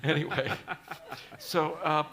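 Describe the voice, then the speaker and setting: A man hosting a radio show, interviewing musicians with halting enthusiasm and frequent laughter. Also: fairly high pitch, fairly even intonation, pushed and bright. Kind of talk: casual interview.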